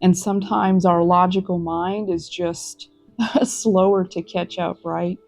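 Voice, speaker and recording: A woman reads tarot cards for her viewers in an unhurried, mellow voice that sits a little low. She sounds gentle and encouraging, pausing to reflect between thoughts. Faint music plays behind her. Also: steady tone. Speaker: tarot reader. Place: outdoors in a forest.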